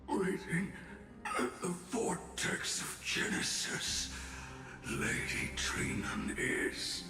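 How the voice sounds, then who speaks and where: A grave, heroic craftsman from a fantasy game. He speaks very slowly, in broken bursts of inverted phrasing, and his pitch swings dramatically. His voice rings with heavy echo over music. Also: exaggerated voice. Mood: solemn, urgent. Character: heroic old craftsman, grave, self-sacrificing defender with inverted speech. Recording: echoey, music underneath.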